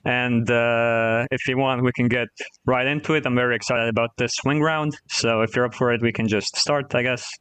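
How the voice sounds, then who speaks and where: A man acting as guest quizmaster on a podcast, explaining each question casually in a measured way. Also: mid-range voice, fairly even intonation, fairly bright tone, clear, resonant tone, no echo. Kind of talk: casual quiz hosting.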